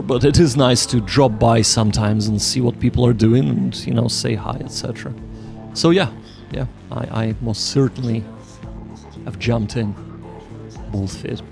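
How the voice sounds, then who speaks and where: Man, mid-pitched, vocal fry, casual livestream host, joking, repeats short phrases, soft background music. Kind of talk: casual livestream chat, replying to viewers. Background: faint music.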